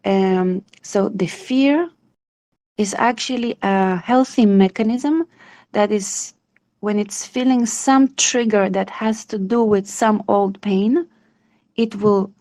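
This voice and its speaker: A woman's voice: mid-range voice, steady tone, slow pace, a little mellow. A woman in her thirties from Israel, hosting an online support group in a welcoming, reassuring way over a video call. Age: 30s.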